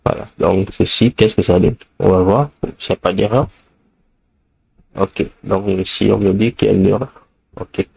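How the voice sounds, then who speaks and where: A man's voice: on the low side, fairly even intonation, moderate pace, vocal fry, darkish tone, slightly rough, no room sound, low-quality recording. A man with the flu, giving a screencast coding lesson in a hesitant way, thinking aloud with many fillers while he types. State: has the flu. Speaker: programming tutor.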